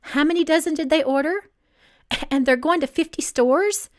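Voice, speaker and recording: A woman's voice: sits high, pitched above the performer's own voice, close to an everyday voice. Woman reading as a firm, exasperated young boss lady. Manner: firm, dry, brisk. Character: tough, exasperated businesswoman boss in her late twenties.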